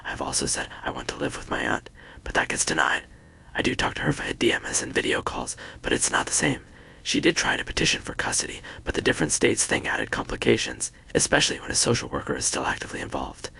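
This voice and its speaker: A man narrating a scripted first-person story in a hushed, breathy whisper. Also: extremely low pitch, huge pitch swings, fast talker.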